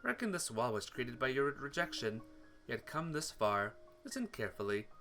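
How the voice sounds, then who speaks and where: A man's voice: medium pitch, sing-song, fairly quick, bright, forceful tone, faint music behind. A man voicing an earnest, awkward teenage boy from a visual novel, hesitant and apologetic. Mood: gentle, earnest.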